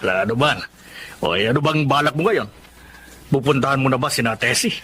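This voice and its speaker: A man's voice: medium pitch, brisk, pushed and bright, a little hiss or hum, close to an everyday voice. A Filipino man playing a kindly, encouraging ex-convict friend in a radio drama. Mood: curious, concerned.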